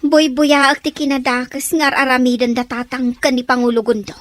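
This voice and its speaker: Woman acting high-voiced troubled young daughter. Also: little pitch movement, normal speed, a bit bright. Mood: distressed, indignant.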